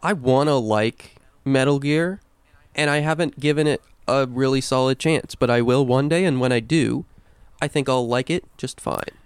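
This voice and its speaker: A man with a smooth, clean voice at an ordinary mid pitch, recorded close and dry. He talks like a podcast co-host in casual chat, informal and emphatic, with some swearing. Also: normal speed, no echo. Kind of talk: casual chat about video games.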